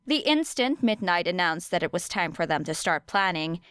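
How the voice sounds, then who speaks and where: Brief: woman audiobook narrator, lowish clear voice, expressive storytelling. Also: normal speed, no echo, studio quality. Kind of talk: expressive scripted fiction narration.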